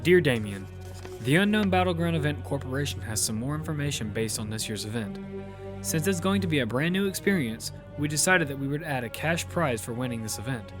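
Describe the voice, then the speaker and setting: A man's voice: a little high, close to an everyday voice. A man playing a determined lead who narrates his own survival story in a matter-of-fact way. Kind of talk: first-person audio fiction narration. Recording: music bed behind the voice.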